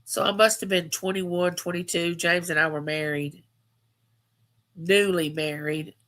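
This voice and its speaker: Bright-voiced chatty woman in her fifties. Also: fairly low pitch, fairly even intonation, a little slow. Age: 50s. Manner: chatty, storytelling, repeats phrases for emphasis. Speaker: livestream host. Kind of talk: casual live chat.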